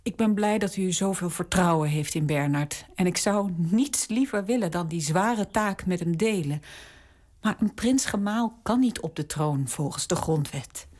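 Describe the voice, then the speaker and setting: An actress playing a dutiful princess daughter in a radio drama, speaking gently and deferentially. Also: female voice, lowish voice, unhurried. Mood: persuasive.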